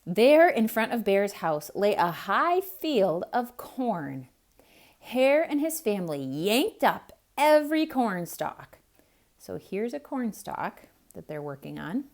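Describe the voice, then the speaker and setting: A woman reading a picture book aloud to children, pausing to point things out and ask them questions. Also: medium pitch. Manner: slow, expressive, asks children questions. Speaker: children's storyteller.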